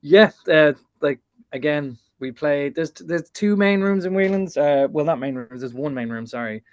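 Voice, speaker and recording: A man's voice: fairly high pitch, lively intonation, normal speed, no room sound. A man answering interview questions over a video call, easygoing, often trailing off. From Ireland. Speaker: band member, guest.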